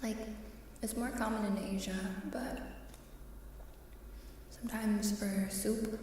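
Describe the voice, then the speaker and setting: Slow, whispery ASMR woman in heavy reverb. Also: mid-range voice, steady tone. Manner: soft-spoken, often dropping into whispers, unhurried with pauses. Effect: added reverb. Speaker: ASMR livestreamer. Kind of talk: ASMR, casual chat with viewers. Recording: very reverberant hall-like echo, distant, faint noise.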